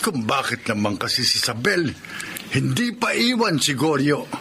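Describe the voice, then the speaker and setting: Gentle, fatherly older Filipino man. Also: mid-range voice, big pitch swings, noisy background. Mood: concerned, fatherly. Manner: gentle, measured, sympathetic. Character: kindly older male employer, fatherly, concerned, calm. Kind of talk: Filipino radio drama acting.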